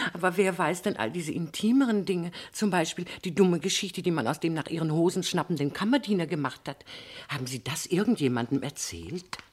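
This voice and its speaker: Imperious husky society matron. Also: female voice, lowish voice, expressive, moderate pace. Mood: probing, condescending. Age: middle-aged. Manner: gushing, imperious, talks over others. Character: domineering high-society mother, brisk and condescending. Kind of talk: German radio play acting.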